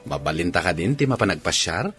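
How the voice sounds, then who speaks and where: Lively, bright, husky teasing Filipino man. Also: deep voice, fast talker, soft background music, full character voice. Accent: Filipino (Ilocano). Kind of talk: radio comedy-drama acting.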